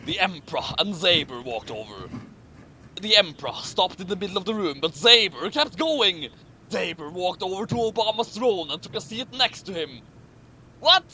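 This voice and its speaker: Male host, bright high voice, comic dramatic reading with casual asides, incredulous emphasis. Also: big pitch swings, normal speed, slight background noise. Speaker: commentary show host reading a fan fiction aloud.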